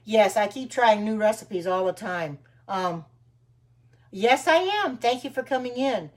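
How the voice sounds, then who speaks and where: A woman's voice: medium pitch, lively intonation, unhurried. An older woman chatting casually on a live stream while baking, greeting viewers by name and wandering from topic to topic with homespun sayings. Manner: frequent ums and restarts, homespun sayings. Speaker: home cooking livestreamer.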